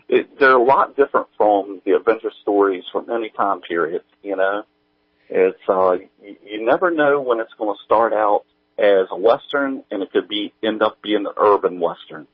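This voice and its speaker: A man chatting casually as an interview guest, thinking aloud in a halting, rambling way. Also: fairly low pitch, animated, no room sound, phone-quality sound. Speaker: guest, audio drama writer and producer.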